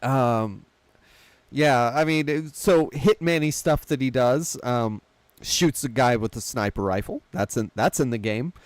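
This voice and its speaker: A man's voice: medium pitch, unhurried, no room sound. Man casually recapping films, dry close sound. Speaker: podcast host. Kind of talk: casual film discussion, recapping a plot.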